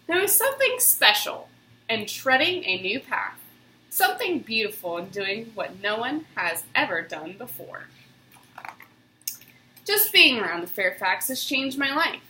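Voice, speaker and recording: Animated high-voiced woman reading a storybook. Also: a little slow, a little hiss or hum. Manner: expressive, theatrical storytelling. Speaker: storybook reader. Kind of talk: dramatic children's book reading. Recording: roomy, echoing room sound.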